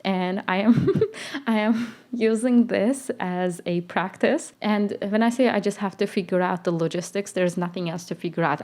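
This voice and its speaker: Slow-talking young woman vlogger, not a native English speaker. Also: on the low side, darkish tone. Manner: unhurried, chatty, with frequent fillers and self-corrections. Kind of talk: casual life-update vlog.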